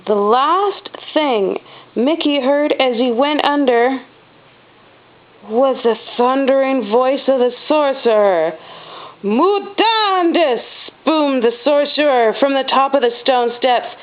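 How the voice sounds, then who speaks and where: A woman reading a children's storybook aloud as a mother does at home, with expressive stress on the dramatic words. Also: high voice, slow pace. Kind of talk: storybook read-aloud narration. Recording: dull, lo-fi home recording.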